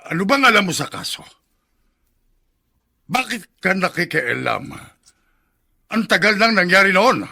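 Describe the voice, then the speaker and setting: An actor playing a defensive, evasive congressman in a Filipino radio drama, rough-voiced, irritable and prone to stammer. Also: high voice, big pitch swings, measured pace, pauses often, bright, forceful tone, exaggerated voice. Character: defensive, evasive older congressman with something to hide.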